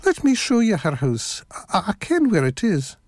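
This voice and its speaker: Scottish woman putting on a smarmy storybook wolf, coaxing and fake nice, really swoopy pitch, dry recording. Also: big pitch swings, moderate pace, a little mellow, no room sound. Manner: coaxing, oily politeness. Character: sly, smooth-talking male wolf, falsely charming. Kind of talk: children's storybook character voice.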